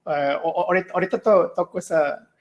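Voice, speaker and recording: A middle-aged man from Mexico, giving a tech talk on a video call, relaxed and full of "eh" fillers. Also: high voice, quick pace, muffled recording. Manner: relaxed, with many "eh" and "ah" fillers and restarts. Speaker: guest speaker, solution architect. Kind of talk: online tech meetup presentation.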